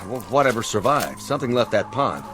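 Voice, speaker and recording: A man acting as an earnest, intent state trooper with a mid-pitched voice and varied inflection. His lines are terse radio drama, with music clearly behind. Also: sing-song, brisk, natural voice. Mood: intent, uneasy. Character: earnest male state trooper tracking something strange.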